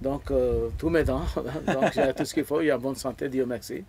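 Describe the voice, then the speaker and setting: A man's voice: medium pitch, a little mellow, slightly rough. Earnest older man. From Pakistan. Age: around 70. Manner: earnest, halting, repeats words while searching for them. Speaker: interview guest. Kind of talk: casual interview answers.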